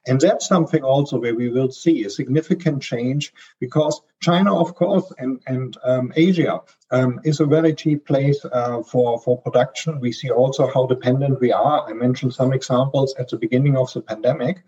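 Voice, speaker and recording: German-accented man, hesitant and mellow. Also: medium pitch, normal speed, slightly rough, dull audio. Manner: hesitant, many ums and restarts. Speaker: supply chain consultant guest. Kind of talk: podcast interview answers.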